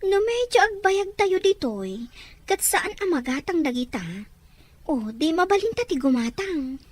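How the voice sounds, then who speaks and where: Woman playing eager young daughter, very high voice, lively swings, Ilocano accent, dry close sound, audio drama. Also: a little slow, no room sound, full character voice. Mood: hopeful. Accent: Filipino Ilocano. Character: young Filipina daughter eager to work abroad. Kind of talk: Ilocano radio-style drama acting.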